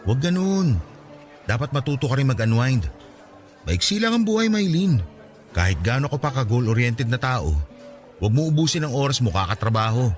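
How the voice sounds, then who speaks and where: A man playing animated, caring childhood friend. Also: medium pitch, fairly quick, soft background music, natural voice. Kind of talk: radio drama acting.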